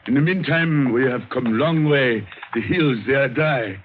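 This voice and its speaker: An actor playing a boastful, hard-drinking guerrilla general with a Spanish accent and commanding broken English in an old radio drama. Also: male voice, mid-range voice, measured pace, slight background noise, close to an everyday voice. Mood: swaggering. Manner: broken English, commanding, swaggering, repeats himself. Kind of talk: 1950s radio drama acting. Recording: tinny old radio broadcast, music behind.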